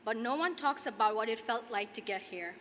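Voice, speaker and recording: Woman, 20s, Nepali accent, student commencement speaker; forceful bright tone, earnest measured phrasing, big reverberant hall. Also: a little high, lively intonation. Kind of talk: prepared commencement speech. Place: large hall at a podium, audience ambience. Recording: echoey hall PA, clear background noise.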